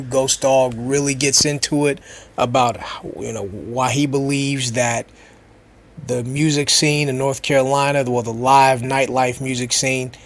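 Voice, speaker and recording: Scratchy-voiced man hosting a podcast. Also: medium pitch. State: hoarse from a cold. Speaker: podcast host.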